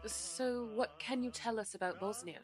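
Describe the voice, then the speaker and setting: A woman's voice: a little high, moderate pace, soft background music, close to an everyday voice. A woman playing a polished, curious radio host, speaking formally in a scripted interview. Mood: curious, polite.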